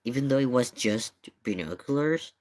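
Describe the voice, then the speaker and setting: A man's voice: medium pitch, animated, moderate pace, a little mellow. A man giving casual live commentary in an emphatic, questioning way, often repeating his points. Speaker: streamer reacting to paranormal investigation video.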